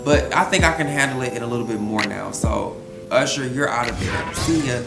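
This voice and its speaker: A young man vlogging from home, answering viewer questions in a candid, slangy, animated way. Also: mid-range voice, brisk, creaky, over music. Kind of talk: casual vlog Q&A. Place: room at home he records in. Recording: echoey room, faint background hum.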